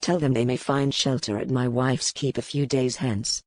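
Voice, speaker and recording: A man playing a grim, weary, commanding medieval duke in an audiobook, speaking in formal, measured phrases. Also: medium pitch, fairly even intonation, dry recording, clean studio sound, lightly acted. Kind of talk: dramatized audiobook dialogue.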